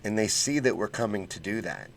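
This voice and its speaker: Fired-up low-voiced man ranting on a livestream. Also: fairly quick, slight background noise. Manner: blunt and fired-up, folksy slang. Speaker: political livestream host. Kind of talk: casual livestream commentary reading chat.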